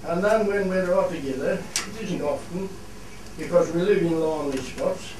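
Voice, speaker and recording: A man's voice: sits high, moderate pace, close to an everyday voice. A man playing a plain-spoken Cumbrian fell shepherd who explains things in a radio play, recorded in an echoey room. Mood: explanatory. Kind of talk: scripted radio play monologue in dialect. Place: theatre studio. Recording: echoey hall, distant mic, clear noise.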